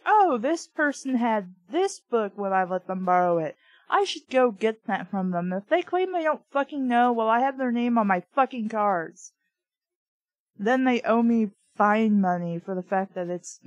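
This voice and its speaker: A woman's voice: on the high side, lively intonation, a little slow, no room sound, highs cut off. Young woman with dry, close sound, casual and blunt. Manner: blunt, opinionated, swears freely. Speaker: host reading a children's book aloud with commentary. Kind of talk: casual commentary interspersed with read-aloud.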